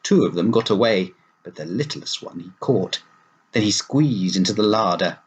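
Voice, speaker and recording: A man reading a children's story aloud as a storyteller, in an animated narrating style. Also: lowish voice, moderate pace.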